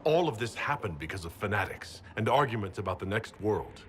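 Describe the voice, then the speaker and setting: An actor playing a weary, resolute fantasy hero in a video game, earnest and plain-spoken. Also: male voice, on the low side, lively intonation, a little fast, a bit bright, a little hiss or hum. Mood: weary, determined. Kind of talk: dramatic video game dialogue.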